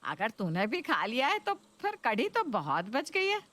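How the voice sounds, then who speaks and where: A woman voicing an older, food-loving Indian mother-in-law who coaxes and exclaims with drawn-out words in a cartoon story. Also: a little high, wildly swooping pitch, a bit bright, exaggerated voice. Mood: coaxing. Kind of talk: Hindi cartoon story voice acting.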